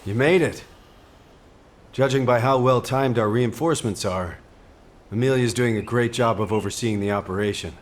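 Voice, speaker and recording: A man playing a composed, caring military officer in a video game, giving grave briefings in a measured, formal, earnest way. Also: medium pitch, natural voice. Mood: somber, reflective. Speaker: mission commander giving briefings. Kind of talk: scripted video game dialogue.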